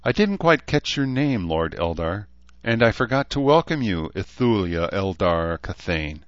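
A man acts out a young woman in a fantasy tale, lifting his pitch. She sounds chatty, opinionated and wry, yet polite, in a roomy and slightly dull recording. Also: big pitch swings, unhurried. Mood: courteous. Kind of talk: fantasy audiobook character voice.

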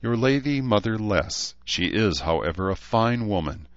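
A man's voice: on the low side, animated, normal speed, voice pushed up, lightly acted. Fantasy audiobook elf lord, played by a man: ancient, haughty, formal, imperious. Dull, roomy sound. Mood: courtly, gracious. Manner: formal, imperious, archaic phrasing.

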